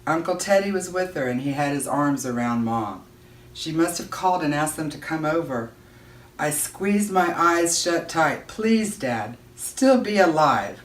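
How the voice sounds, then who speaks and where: A woman giving an expressive read-aloud of a children's novel, narrating as a storyteller. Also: sits low, normal speed. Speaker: audiobook-style reader. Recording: roomy echo, distant microphone.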